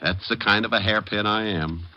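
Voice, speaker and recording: An actor playing a breezy, wisecracking hardboiled private detective with sardonic, slangy patter in old radio drama style. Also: male voice, fairly low pitch, normal speed, bright, forceful tone. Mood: wry, teasing. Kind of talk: 1940s-50s radio detective drama acting. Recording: muffled vintage radio recording.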